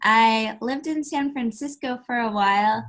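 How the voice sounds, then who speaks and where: A woman speaking as a sound healing therapist in a podcast interview, soft-spoken, explaining things gently. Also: fairly high pitch, measured pace. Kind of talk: conversational interview answers. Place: podcast recording.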